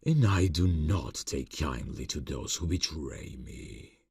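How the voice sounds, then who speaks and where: A man playing an ancient, courtly vampire boss in an ASMR fantasy roleplay, seductive and quietly menacing, speaking formally and deliberately. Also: expressive, dry recording, studio quality, full character voice. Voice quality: very deep, gravelly, breathy. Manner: slow, formal, silky, deliberate. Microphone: close microphone, dry sound.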